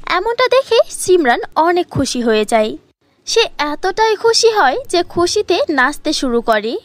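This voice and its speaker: A woman's voice: very high voice, sing-song, measured pace, no echo. A woman reading scripted fairy-tale narration for a cartoon, as a storyteller.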